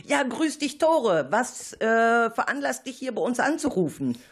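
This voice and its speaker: A woman's voice: on the high side, big pitch swings, measured pace, breathy edge, soft background music. A woman presenting a scripted children's radio show as host, putting questions to listeners and callers.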